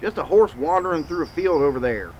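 An actor playing a put-upon, complaining outlaw sidekick in a western radio drama. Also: male voice, a little high, animated, brisk, a little mellow, dull audio, lightly acted. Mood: defensive, submissive. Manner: complaining, defensive. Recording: long reverb, faint background noise.

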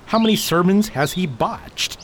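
A man's voice: high-pitched, expressive, normal speed. A man acting as a haunted, regretful war veteran in an audio drama, subdued and guarded. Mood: weary, regretful. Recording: noisy track with chirping birds.

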